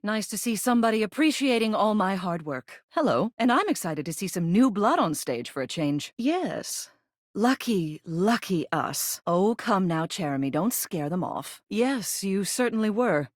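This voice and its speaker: A woman's voice: mid-range voice, very animated, normal speed, no room sound, studio quality. A woman voicing a video game character, a living ceiling who is a haughty yet fond arts critic, speaking in an arch, theatrical manner. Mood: arch, amused, then dry, haughty. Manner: theatrical, arch, drawling emphasis.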